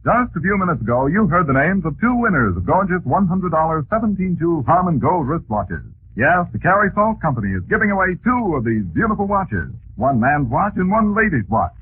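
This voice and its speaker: An American man announces on 1940s radio in a lively, slightly high voice, reading scripted advertising. The recording is tinny with faint hiss.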